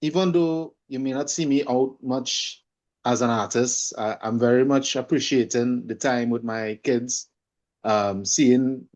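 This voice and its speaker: A man's voice: mid-range voice, a little mellow. Trinidadian man, slightly muffled recording. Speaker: guest, singer and holistic coach. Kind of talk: conversational interview.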